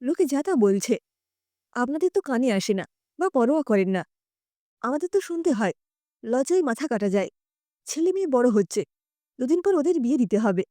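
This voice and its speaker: A woman's voice: high-pitched, very animated, normal speed, pauses often, warm tone, no echo, clean studio sound. A woman voicing an indignant, reproachful younger sister-in-law in an audio story. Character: indignant younger sister-in-law, scolding over family shame.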